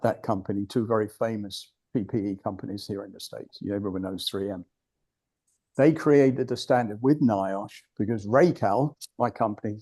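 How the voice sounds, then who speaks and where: A man's voice: lowish voice, warm tone. An older British man giving an informal talk to a club, blunt and digressive, often restarting his sentences. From United Kingdom. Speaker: guest speaker at a club. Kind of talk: informal presentation.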